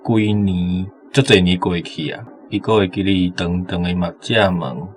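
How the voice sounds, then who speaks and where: A man with a Taiwanese accent pieces a translation together as a contestant. His voice is very low, and he speaks casually over a faint music bed. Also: fairly even intonation, measured pace. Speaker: quiz contestant.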